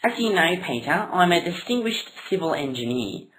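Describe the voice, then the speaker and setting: A woman's voice: on the low side, big pitch swings, moderate pace, a bit bright, low-quality recording. A woman voicing a thin, pedantic male engineer with an annoying nasal voice in a comic puppet show. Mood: pompous, earnest.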